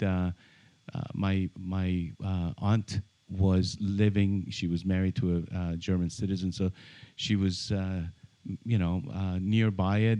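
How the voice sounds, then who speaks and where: Friendly man with halting speech. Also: deep voice, warm tone, clean tone, dull audio. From Iran. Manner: friendly, hesitant, many fillers. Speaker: podcast guest, realtor. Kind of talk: casual interview conversation. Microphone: studio microphone with headphones.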